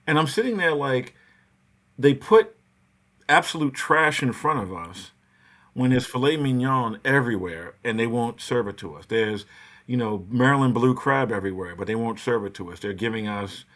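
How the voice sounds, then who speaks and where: A man with a mid-pitched voice co-hosts a casual podcast. He speaks in an impassioned, opinionated rant, loosely phrased and conversational. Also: lively intonation, moderate pace, a bit bright. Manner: impassioned, ranting, peppered with "you know". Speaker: podcast host.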